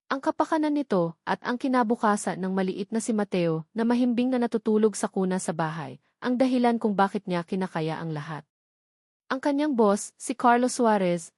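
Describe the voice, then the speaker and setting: Woman doing audiobook drama narration, mid pitch, really dry recording. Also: a little mellow, no echo. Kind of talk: scripted dramatic story narration.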